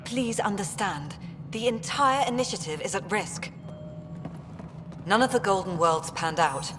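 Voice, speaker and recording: An actress playing a stern, worried colonial official in a video game. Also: female voice, mid-range voice, lively intonation, measured pace, lightly acted. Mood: grim, urgent. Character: stern, worried colonial affairs director, woman. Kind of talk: video game cutscene acting. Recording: reverberant hall with music behind.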